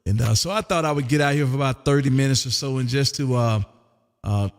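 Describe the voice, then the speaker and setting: A man's voice: medium pitch, quick pace, in a large hall. An American man hosting a casual amateur radio chat in a folksy way, often repeating his phrases. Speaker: amateur radio operator and live stream host.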